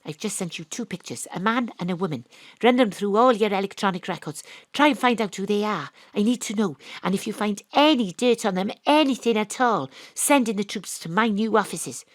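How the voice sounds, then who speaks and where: A woman narrating and playing a bold private investigator, often hushed and conspiratorial. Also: medium pitch, animated, fairly quick, no room sound. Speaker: narrator and lead. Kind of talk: first-person drama narration. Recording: dry home studio.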